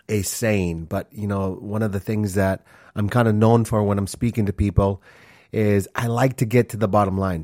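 A man's voice: on the low side, warm tone, no echo, studio quality. A man in his forties, born in Honduras and raised in Minnesota, hosting his own podcast in an earnest, rambling way, full of fillers and restarts. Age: 40s. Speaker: podcast host. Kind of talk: casual solo podcast monologue.

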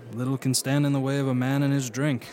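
A man's voice: medium pitch, little pitch movement, fast talker, dark tone, lightly acted. A man playing a wry, genial and watchful adventurer in a radio drama, speaking articulately. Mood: grave.

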